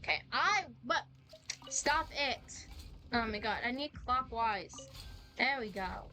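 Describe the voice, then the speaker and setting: A young woman chatting casually in a rambling, excitable, slangy way as she streams a game. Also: a little high, slow. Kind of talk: casual live-stream chat while playing. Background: game music.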